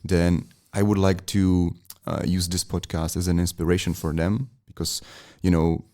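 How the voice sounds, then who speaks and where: A male podcast host with a low, dark, even voice. He asks his questions loosely and hesitantly, filling gaps with "like" and "uh". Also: moderate pace. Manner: hesitant, many fillers like "like" and "uh". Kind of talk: casual interview questions.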